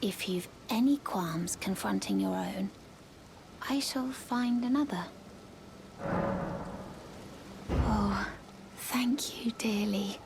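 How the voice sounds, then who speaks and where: A woman playing a courteous, mysterious young servant of a noble house in a video game, with a hushed, breathy, formal delivery. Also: mid-range voice, takes it slowly, background noise. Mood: pleading, demure. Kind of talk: video game character acting.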